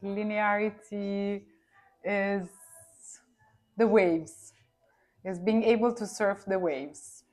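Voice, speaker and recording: A woman's voice: mid-range voice, steady tone, slow, long pauses, a little mellow. A woman from Milan with an Italian accent, a native Italian speaker, talking as a podcast guest in a reflective way, often searching for words. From Milan, Italy. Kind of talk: casual interview chat.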